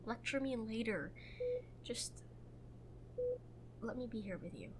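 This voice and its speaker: Woman playing young prickly gf in audio roleplay, slow bossy but tender, noisy background. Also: fairly high pitch, animated. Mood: vulnerable, earnest. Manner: tender but bossy, halting when vulnerable. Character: protective, prickly young woman, frightened for her injured lover. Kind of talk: girlfriend roleplay audio drama.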